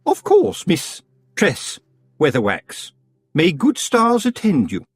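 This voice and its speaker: A male reader voicing a prim witch lady with a high, sugary lilt and overly polite diction. Her voice is a little husky and swoops about. It is close and dry. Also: huge pitch swings, slow, dry recording, heavily acted. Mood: honeyed. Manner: falsely sweet, overly polite. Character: prim, sugary middle-aged witch, woman.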